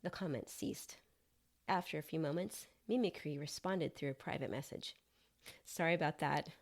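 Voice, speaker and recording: A woman's voice: sits low, normal speed, a little mellow. A woman reading a scripted short story as narrator of her own podcast.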